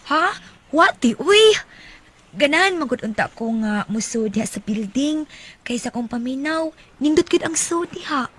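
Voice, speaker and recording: A woman's voice: high-pitched, expressive, measured pace, fairly bright tone. A woman acting as an earnest, naive teenage working girl in a Bisaya radio drama, with a Cebuano accent and a plaintive manner. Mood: eager, bashful.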